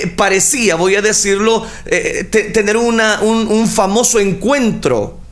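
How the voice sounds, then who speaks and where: Man, high voice, preacher, earnest sermon, talks straight to the congregation. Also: fairly bright tone. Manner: earnest, addresses listeners directly, frequent fillers. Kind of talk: sermon on scripture.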